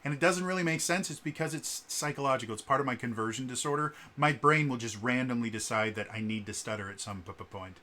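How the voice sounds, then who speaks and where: A man with a stutter giving casual spoken commentary as a vlogger, halting with many fillers and repeated sounds. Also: mid-range voice, bright, forceful tone.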